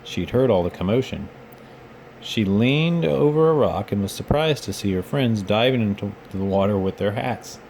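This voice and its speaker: A man with a fairly low voice reads a children's story aloud. His narration is expressive and paced for young listeners. A faint noise sits behind him, as in a home recording. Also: normal speed. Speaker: storybook reader, children's read-aloud host. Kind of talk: expressive bedtime storybook reading.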